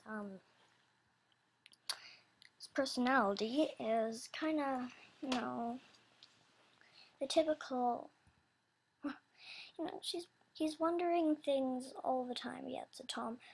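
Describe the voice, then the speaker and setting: Casting: female announcer for a casual audition call, husky and creaky, rambling, apologetic, unsure. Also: high voice, takes it slowly, long pauses. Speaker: animator describing characters for voice auditions.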